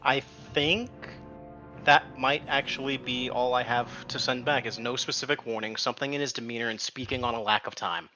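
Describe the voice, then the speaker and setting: A man's voice: medium pitch, fairly even intonation, moderate pace, pushed and bright, muffled recording, faint music behind. A man chatting casually as a player in an online tabletop game, with a dry, joking manner. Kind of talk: casual group chat during an online game.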